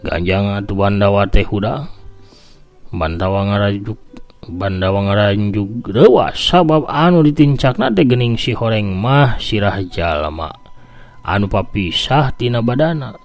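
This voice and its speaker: Male storyteller, Sundanese accent, deepish voice, theatrical folk tale narration over prominent music. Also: expressive, measured pace. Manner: theatrical, with voiced sound effects. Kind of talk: dramatic scripted story narration.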